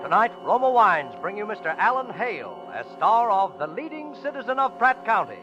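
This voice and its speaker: Male show announcer, vintage radio: high, animated, theatrical, muffled recording with music. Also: normal speed. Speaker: program announcer. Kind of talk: dramatic 1940s radio introduction. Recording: dull old radio recording, loud music.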